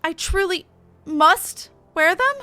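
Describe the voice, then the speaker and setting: A woman plays a proud, indignant fantasy-game warrior who declaims in archaic, formal speech. The voice is pitched high and pushed out forcefully, with faint music behind it. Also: lively intonation, slow, no echo, full character voice.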